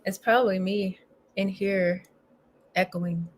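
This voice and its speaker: A woman co-hosting a casual online chat from a home room over a video call, teasing and quick to laugh. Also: mid-range voice, fairly even intonation, slight background noise. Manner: giggly, teasing banter. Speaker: co-host.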